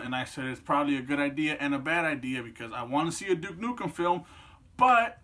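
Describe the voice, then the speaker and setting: Bright-voiced young man, rambling and profane. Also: on the high side. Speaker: video host. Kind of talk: casual opinion vlog.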